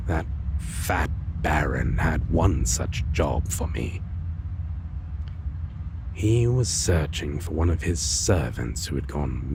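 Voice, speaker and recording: A man playing a brooding, world-weary vampire lover in a dark fantasy audio roleplay, speaking intimately in halting, old-fashioned phrases with a low, breathy, gravelly voice. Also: noisy background, heavily acted. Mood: measured, recounting.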